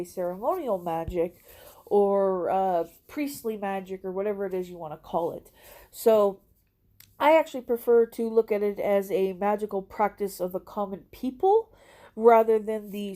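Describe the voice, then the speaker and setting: A woman with a stuffy, congested nose hosts a relaxed explainer. Her voice is mellow and warm in tone, mid-pitched, and she keeps checking in with her listeners as she goes. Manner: chatty, frequent "okay?" tag questions. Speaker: host. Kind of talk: casual educational monologue.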